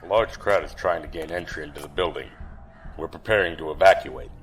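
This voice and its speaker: A man's voice: very deep voice, brisk. A man playing a dutiful security lieutenant in an audio drama, with formal military delivery. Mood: businesslike. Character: dutiful male security lieutenant reporting to superior.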